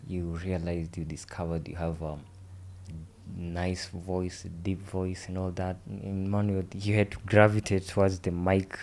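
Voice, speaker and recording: Man, twenties, guest; very deep, slow, hesitant delivery. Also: flat delivery, darkish tone, slight background noise. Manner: slow, hesitant, with many fillers. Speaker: podcast guest. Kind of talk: casual interview chat.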